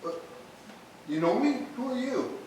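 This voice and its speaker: A man plays an astonished, flustered old boyfriend in a stage comedy. His pitch swings widely as he exclaims and stammers, heard from far off in a very echoey room. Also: expressive, a little slow, darkish tone. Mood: indignant, confused. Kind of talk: stage comedy rehearsal acting. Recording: very echoey room, distant microphone, noisy.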